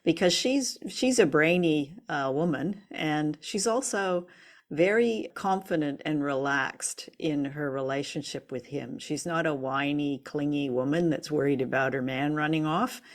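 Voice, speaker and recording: A woman with a low-pitched voice talks as a co-host in relaxed conversation about old radio. She is chatty and keen, speaking off the cuff. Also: measured pace. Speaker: podcast co-host. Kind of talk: casual discussion of old-time radio.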